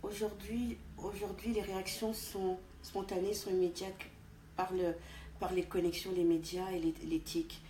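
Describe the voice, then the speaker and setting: A woman from France, a guest in an interview, speaking deliberately with frequent restarts. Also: medium pitch, little pitch movement, warm tone. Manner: deliberate, halting with many restarts. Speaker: interview guest, party official. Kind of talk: conversational interview. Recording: echoey room with faint background noise.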